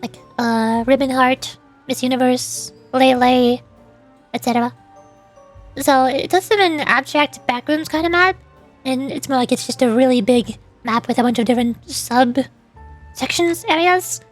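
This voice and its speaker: A woman's voice: high-pitched, measured pace. A woman streamer giving casual, chatty live commentary on a game in a playful way. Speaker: VTuber streamer. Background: faint music.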